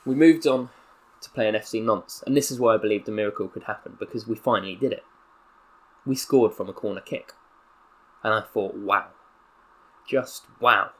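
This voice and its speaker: A man's voice: fairly low pitch, rough recording. A young man with a Southern English accent, playing a career-mode footballer who reads out a mock-solemn press statement. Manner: mock-solemn with bursts of excitable commentary. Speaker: gaming YouTuber.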